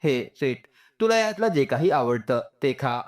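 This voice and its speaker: A man's voice: medium pitch, big pitch swings, a little slow. A man voicing a polite, friendly young man in a story, casual and sincere, mixing in English words.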